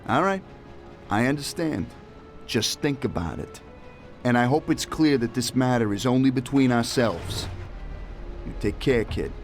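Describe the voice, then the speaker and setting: A man's voice: mid-range voice, lively intonation, a little slow, long pauses. Casting: a polite, businesslike mafia man for a game, middle register, light music under him. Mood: calm, courteous. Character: composed male mobster, courteous and businesslike. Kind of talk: video game voice acting.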